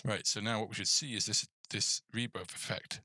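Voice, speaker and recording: A man's voice: on the low side, steady tone, moderate pace, pushed and bright, breathy edge. A man hosting a casual coding tutorial, thinking aloud in a hesitant mutter as he works. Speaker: game development tutorial host.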